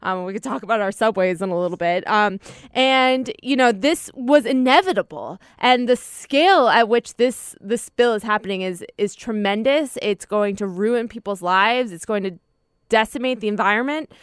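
Smooth-voiced woman co-host, earnest. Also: fairly high pitch, moderate pace, no room sound, clean studio sound. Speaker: podcast co-host. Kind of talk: casual political chat.

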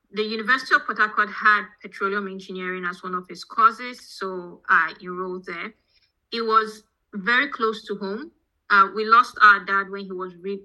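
Nigerian woman with a very bright, forceful voice. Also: mid-range voice, low-quality recording. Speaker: podcast guest, petroleum engineering professor. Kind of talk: conversational interview answers, telling own background.

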